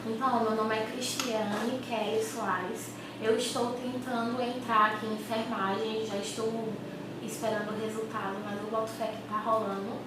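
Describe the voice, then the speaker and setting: High-voiced young woman, echoey and distant. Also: normal speed, very noisy. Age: 20s. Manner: relaxed, chatty, with many fillers. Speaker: interviewee. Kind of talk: casual interview. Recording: echoey room, far mic, loud music.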